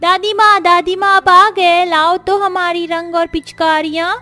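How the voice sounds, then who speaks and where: A woman voicing an eager, excitable small village child in a family story. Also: very high-pitched, a little slow, soft background music, voice pushed up, exaggerated voice.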